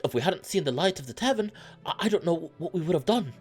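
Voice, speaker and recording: A man's voice: mid-range voice, expressive, quick pace, a bit bright, pitched above the performer's own voice, lightly acted. A man voicing a polite, fretful goat servant in a story, stammering as he shivers. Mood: panicked relief. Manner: stammering, breathless with cold.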